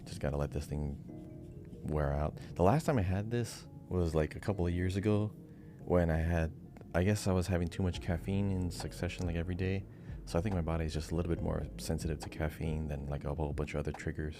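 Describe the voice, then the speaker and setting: A man's voice: sits low, steady tone, quick pace, over music. A man co-hosting a podcast in an informal, conversational, casual chat style. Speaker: podcast co-host.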